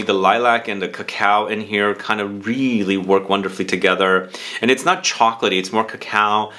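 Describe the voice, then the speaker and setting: A man's voice: on the low side, pushed and bright. A man hosting a casual review video, talking to viewers in an enthusiastic way with repeated emphasis. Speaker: fragrance reviewer and video host. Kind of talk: casual review talk to camera.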